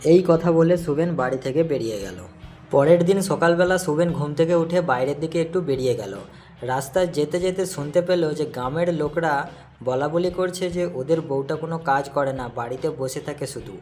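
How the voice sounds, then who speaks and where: A man's voice: on the high side, moderate pace, darkish tone, soft background music. A man narrating a scripted cartoon story.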